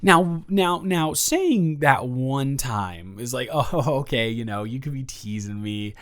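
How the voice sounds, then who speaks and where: A man's voice: mid-range voice, lively intonation, slow pace, fairly bright tone. A man playing a flustered, self-deprecating young man who rambles and stammers in an improvised audio roleplay monologue. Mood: stunned, stammering. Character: flustered, rambling young man nervously confessing to his roommate.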